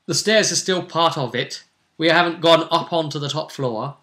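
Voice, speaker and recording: A man retelling a tabletop roleplay session as game master, in animated, emphatic conversational storytelling. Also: a little high, normal speed.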